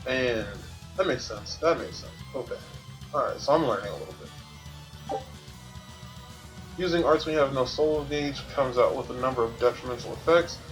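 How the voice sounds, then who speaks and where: A man's voice: medium pitch, normal speed, pauses often, soft background music, low-quality recording. A man doing casual gameplay commentary as a streamer, thinking aloud and explaining things to himself. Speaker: video game streamer.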